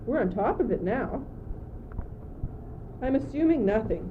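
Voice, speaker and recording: Dull noisy recording, teenage girl acting anxious naive young courtier, high voice, earnest, school radio drama. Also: animated, unhurried. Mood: earnest summing up. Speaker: student actor. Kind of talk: amateur radio play. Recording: dull old tape, loud background noise.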